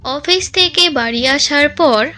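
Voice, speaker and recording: A woman narrating a scripted cartoon story for children in a gentle storytelling manner. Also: high voice, slow pace, faint music behind.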